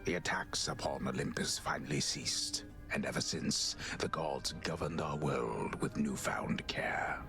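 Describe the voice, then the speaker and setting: Very deep, gravelly epic storyteller. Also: male voice, unhurried, hardly any pauses, whispered, music in the background, exaggerated voice. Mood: solemn. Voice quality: very deep, gravelly, breathy murmur. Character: old storyteller poet narrator, grave and solemn. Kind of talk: epic scripted game narration.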